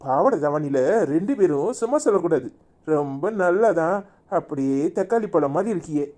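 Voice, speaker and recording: A woman's voice: low voice, expressive, normal speed, dark tone. A woman voicing a doting, exasperated village husband in a cartoon comedy, with a coaxing, colloquial Kanyakumari Tamil accent. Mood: flirtatious.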